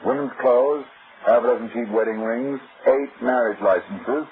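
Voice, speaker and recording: An actor playing a wisecracking, sly hardboiled private detective in an old-time radio drama, wry and teasing. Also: male voice, mid-range voice, normal speed, close to an everyday voice. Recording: tinny, hissy old radio recording.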